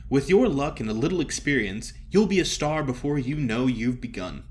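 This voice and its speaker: A man's voice: medium pitch, expressive, slight background noise, lightly acted. Man playing an effusive, theatrical old stage comedian. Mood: persuasive. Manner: emphatic, drawn-out address, grand pronouncements. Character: effusive, theatrical older male stage comedian, cajoling and grandly flattering.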